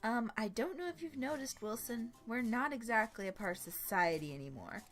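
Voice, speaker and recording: A woman's voice: fairly high pitch, lively intonation, fairly quick, soft background music, close to an everyday voice. A woman voicing a bold, sardonic young woman with a casual, mocking manner, in a story reading. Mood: teasing, matter-of-fact. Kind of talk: animated storybook character reading.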